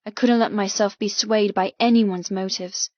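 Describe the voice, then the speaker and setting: A woman narrates a first-person novel in a medium-pitched voice. Her delivery is measured and dramatic. The sound is very dry and close, with slightly muffled highs. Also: steady tone, darkish tone, no room sound. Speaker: audiobook narrator.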